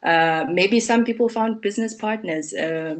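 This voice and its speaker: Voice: mid-range voice, unhurried, a crowd in the background. English teacher on a video call, encouraging, says truly and mm-hmm a lot. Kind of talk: leading an online speaking class.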